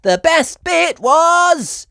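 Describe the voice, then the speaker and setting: Storybook little girl pig, played by a woman: high, cheerful, hugely animated, exclaiming. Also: extremely slow, gravelly, no room sound, studio quality, heavily acted. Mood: excited, cheerful.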